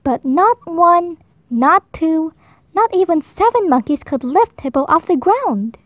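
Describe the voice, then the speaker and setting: A woman reads a children's picture book aloud in a high, bouncy voice, taking her time over every line. The sound is dry and close, with no room behind her. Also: dry recording, dull audio. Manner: slow, expressive, high voices for animal lines. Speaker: storybook reader. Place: indoors at a table, book held to camera.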